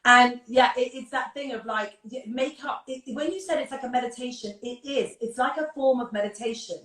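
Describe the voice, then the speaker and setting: A woman's voice: fairly high pitch, a bit bright, echoey room, far from the mic, poor audio. An English woman, a makeup artist, chatting effusively over a video call. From England. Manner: gushing, effusive, quick to agree. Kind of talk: casual chat.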